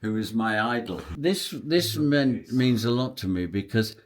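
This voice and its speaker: Older man reminiscing, halting and sentimental. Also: mid-range voice, expressive. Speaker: pantomime dame and writer. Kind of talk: casual reminiscing chat.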